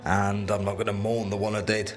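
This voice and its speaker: An actor playing a wry, blunt rebel comrade in a video game. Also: male voice, lowish voice, monotone, fairly quick, soft background music, close to an everyday voice. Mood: wry, satisfied. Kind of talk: video game dialogue acting.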